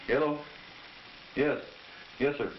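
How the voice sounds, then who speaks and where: A man's voice: fairly high pitch, fairly even intonation, slow pace. A man, a father in family conversation, recorded for a documentary-style film. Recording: muffled, noisy old educational film soundtrack.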